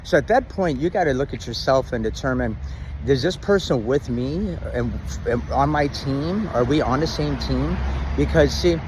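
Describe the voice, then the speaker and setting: A middle-aged man giving relationship advice in a casual, rambling talk to camera, full of restarts and ums, in a loud and dominant voice. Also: medium pitch, expressive, moderate pace, a little hiss or hum, poor audio. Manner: says he has a loud, dominant voice.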